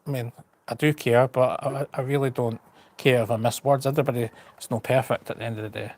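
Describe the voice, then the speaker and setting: A man's voice: medium pitch, fairly even intonation, quick pace, darkish tone. A man with a Scottish accent chatting casually to his live stream, rambling and frank, with a slight stutter and repeated words. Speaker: travel vlogger on a live stream. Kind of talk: casual chat.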